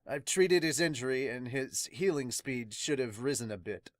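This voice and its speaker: A podcaster voicing a calm male pirate healer in an amateur manga dub, measured and reassuring. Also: medium pitch, no echo, lightly acted.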